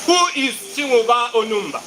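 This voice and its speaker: Man playing weary king, high voice. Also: expressive, brisk. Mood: stern, weary. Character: weary, commanding male Igwe, a traditional king. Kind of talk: theatrical stage play acting. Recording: noisy live stage recording.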